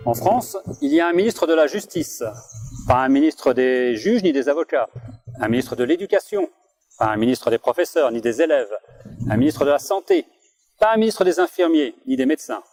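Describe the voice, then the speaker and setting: A man's voice: a little high, sing-song, normal speed, a little mellow, soft background music. A man narrating a scripted report introduction with a wry, rhetorical delivery.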